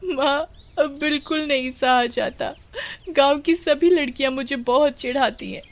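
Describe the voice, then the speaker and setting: A woman voicing a sensitive, naive village girl with plaintive, expressive delivery in an animated story. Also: sits high, measured pace, voice pushed up. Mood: tearful, hurt.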